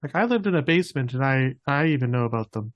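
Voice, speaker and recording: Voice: mid-range voice, expressive, quick pace, highs cut off. Joking player at a game table. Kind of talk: casual roleplay banter.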